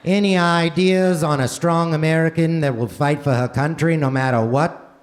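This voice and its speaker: A man playing a swaggering American president with a Boston accent and halting, uh-filled delivery in a comedic radio play. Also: a little high, steady tone, natural voice. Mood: grateful, statesmanlike. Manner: halting, peppered with drawn-out ers and uhs. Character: heroic swaggering American president, folksy and hesitant, JFK parody. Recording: noisy live theater stage recording.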